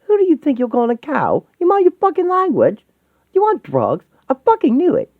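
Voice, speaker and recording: Shaken, scolding older woman played by a man, raised pitch, sweary and exasperated, narrow phone-like sound. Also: big pitch swings, brisk, no echo. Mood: outraged.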